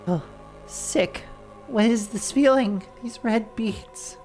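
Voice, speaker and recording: A woman's voice: a little high, slow pace, darkish tone, poor audio. Nasal, congested woman streamer. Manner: reads game lines plainly in first person, breaking off to chat. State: congested from a winter cold. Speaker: game streamer. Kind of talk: casual chat with chat, reading game text aloud. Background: faint game music.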